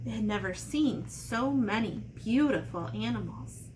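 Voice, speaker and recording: A woman's voice: fairly high pitch, lively intonation, unhurried, a little mellow, slight background noise, rough recording. A woman teacher talking to children in simple, playful, step-by-step language, as in a read-aloud and drawing lesson. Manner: simple, child-directed explanations with playful asides. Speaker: elementary art teacher.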